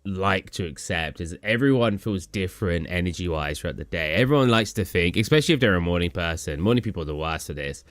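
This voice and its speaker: A man's voice: sits low, a bit bright, dry recording. A young man from England, chatting casually on a live stream and answering chat questions in a tired, rambling way. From southern England. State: tired, low energy.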